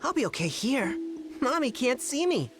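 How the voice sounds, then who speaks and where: A woman's voice: high-pitched, big pitch swings, moderate pace, over music. A woman voicing a timid, clingy little boy in a video game, with a small childlike voice and simple, hesitant phrasing. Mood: playful.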